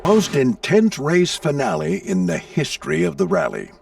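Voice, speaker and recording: An actor playing a seasoned navy plane, a gruff, folksy mentor telling stories with authority in an animated video game. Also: male voice, on the high side, moderate pace, far from the mic, slight background noise, exaggerated voice. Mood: storytelling. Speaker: commanding instructor recounting past races.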